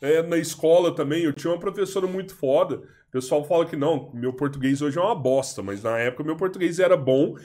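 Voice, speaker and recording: A man hosts a podcast in loose, informal chat. His voice sits a little high for a man, and he often repeats himself and restarts mid-thought. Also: quick pace, few pauses, a little mellow. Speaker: podcast host.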